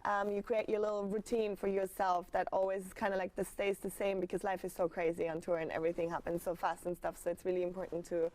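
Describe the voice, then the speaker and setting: A woman's voice: fairly low pitch, flat delivery, fast, hardly any pauses, a little mellow. A young woman from Austria, talking in a casual interview and speaking hesitantly with many fillers and restarts. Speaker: studio guest, circus performer.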